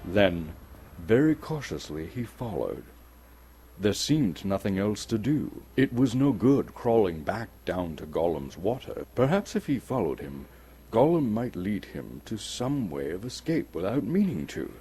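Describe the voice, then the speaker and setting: A man's voice: on the low side, sing-song, a little slow, mellow, slight background noise. A man from Canada giving a dramatic scripted narration of a fantasy story as an actor and storyteller. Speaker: storyteller, actor reading a novel.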